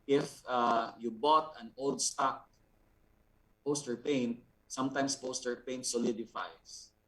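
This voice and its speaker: A man with a Filipino accent teaches in a mid-pitched voice, heard a little far from the microphone. He explains deliberately as an instructor, often repeating words and adding small check-in tags. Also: a bit bright. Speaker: art instructor. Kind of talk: explanatory lesson with examples. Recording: distant, off-mic sound.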